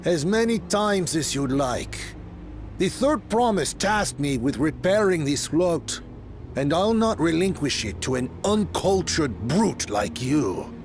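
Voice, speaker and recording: Snooty game character, fairly high male voice, formal and full of contempt, faint noise behind. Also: animated, slow pace. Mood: cold disdain. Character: haughty, disdainful man, proud of his refinement.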